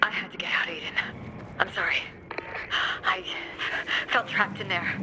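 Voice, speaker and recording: An actress playing a frightened woman in hiding in a video game cutscene, with halting, pleading delivery. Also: medium pitch, fairly even intonation, background noise, lightly acted. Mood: shaken, apologetic. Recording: loud music behind.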